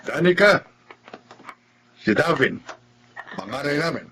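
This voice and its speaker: A man's voice: fairly high pitch, sing-song, slow pace, bright, forceful tone, slightly rough, full character voice. An actor in a Filipino radio drama playing a kindly, welcoming adoptive father. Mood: friendly, welcoming.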